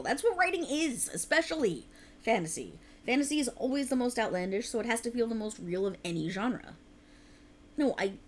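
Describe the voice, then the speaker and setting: A woman's voice: mid-range voice, a little hiss or hum, lightly acted. A woman playing a tired, passionate writer girlfriend in an intimate ASMR roleplay, rambling earnestly and getting playfully defensive.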